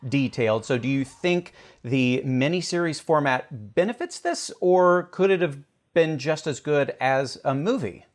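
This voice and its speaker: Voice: mid-range voice, expressive, measured pace, few pauses. A host with a conversational presenting style, introducing topics and putting questions to guests. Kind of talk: conversational show hosting, introducing and asking questions.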